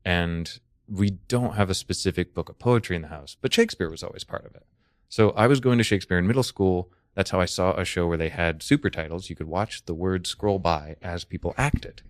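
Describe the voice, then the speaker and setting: A man with an American accent and a very low voice chats as a podcast guest. He explains his ideas thoughtfully and conversationally. The sound is dry and close. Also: brisk, no room sound. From Texas, United States.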